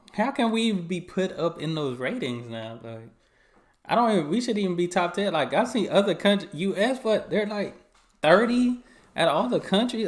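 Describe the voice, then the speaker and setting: A man hosts a casual reaction video in a somewhat high voice. He speaks in loose, relaxed phrasing and drops in quick words of agreement. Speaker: reaction channel host.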